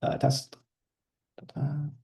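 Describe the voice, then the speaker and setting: A man walking through a software feature in a casual screen-share demo, with frequent ums and uhs. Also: slow pace.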